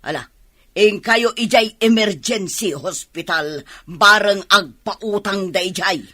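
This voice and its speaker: A woman's voice: mid-range voice, slow pace, sharp tone. An actress playing a stern, unbending, curt woman running things at a medical center in an Ilocano radio drama. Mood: stern, dismissive.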